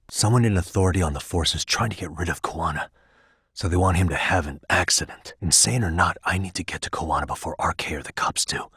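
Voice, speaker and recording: A man's voice: sits low, fairly bright tone, slightly rough, no room sound, exaggerated voice. A man playing a determined, streetwise detective in a video game, dry and probing in his terse delivery. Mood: grave, subdued. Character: determined, streetwise male detective, dogged and wry. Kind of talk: scripted video game dialogue.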